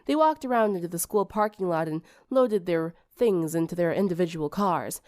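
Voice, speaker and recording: A woman's voice: lowish voice, normal speed, darkish tone. A woman reading scripted fiction aloud as an audiobook narrator.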